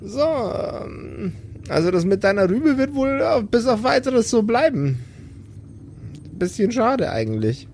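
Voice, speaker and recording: A man's voice: high-pitched, sing-song, a little slow, a little mellow, a little hiss or hum, voice pushed up, heavily acted. A man voicing a flippant, curious female doctor in a tabletop game, talking in a casual, blunt, slightly hesitant way. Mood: matter-of-fact.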